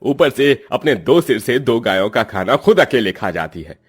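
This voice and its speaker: A man's voice: mid-range voice, big pitch swings, moderate pace, husky. A man voicing a greedy, cruel middle-aged moneylender in a cartoon story, with a harsh, scolding, scheming way of talking.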